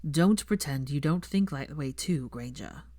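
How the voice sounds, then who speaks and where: A woman voicing a calm, serious young man in an audiobook, speaking in a measured, pointed way. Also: low voice, no echo, clean studio sound. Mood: exasperated. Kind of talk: audiobook character dialogue.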